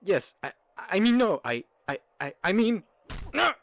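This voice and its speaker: A man's voice: sits high, big pitch swings, unhurried, creaky, fairly bright tone, voice pushed up, heavily acted. A man voicing a cowed orc commander in a fantasy audio roleplay, stammering and grovelling in panic. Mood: panicked pleading. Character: cowed male orc commander, pleading underling.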